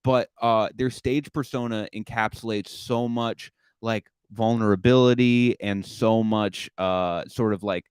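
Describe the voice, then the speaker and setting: A man's voice: fairly low pitch, fairly even intonation, a little slow, few pauses, clear, resonant tone, dry recording. A young man hosting a comedy podcast, rambling casually with lots of filler words and self-deprecating asides. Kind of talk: casual chat.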